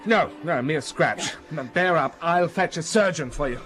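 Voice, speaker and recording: An actor playing a commanding, secretive master of the house, brusque and urgent, in a radio drama. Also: male voice, fairly high pitch, expressive, moderate pace, fairly bright tone.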